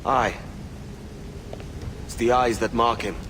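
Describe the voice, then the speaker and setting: A cocky young pirate captain, played by a male actor, in a video game scene. He is irreverent and flippant, tossing out salty sailor talk in a mid-range voice. Clear ambient noise runs behind him. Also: medium pitch, fairly even intonation, takes it slowly, natural voice. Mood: startled, curious. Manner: flippant, salty seafaring slang. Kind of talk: video game cutscene acting.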